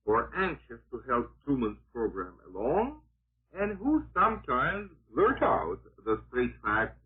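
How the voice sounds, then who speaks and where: Husky oratorical man on vintage radio. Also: medium pitch, wildly swooping pitch, unhurried. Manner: emphatic, oratorical, sardonic. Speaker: radio political commentator. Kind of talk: 1940s radio propaganda commentary, scripted. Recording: muffled, narrow-band vintage 1940s radio broadcast.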